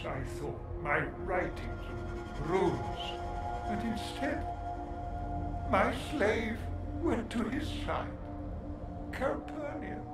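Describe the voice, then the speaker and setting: An actor playing a half-mad captive scholar mage in a fantasy game, speaking haltingly in grand archaic phrases. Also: male voice, high voice, exaggerated voice. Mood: bitter reminiscence. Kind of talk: theatrical fantasy game acting. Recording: echoing voice under loud music.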